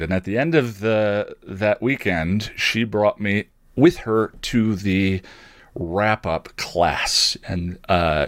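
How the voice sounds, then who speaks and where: A middle-aged man telling a personal story as a podcast host, reflective and halting as he searches for words, with a wry streak. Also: deep voice, fairly bright tone, no room sound, clean studio sound.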